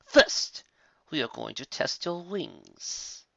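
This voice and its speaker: Male narrator putting on a slow, silky, high and creepy villain pony, lo-fi. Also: very animated, gravelly, pushed and bright, no echo, full character voice. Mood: sinister. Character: sinister cruel pony captor. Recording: dull lo-fi dry recording.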